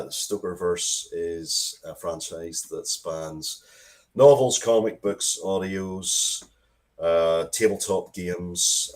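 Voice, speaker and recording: A man talking casually as an interview guest, halting and wry, often restarting his sentences. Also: low voice. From Ireland. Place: basement, at home.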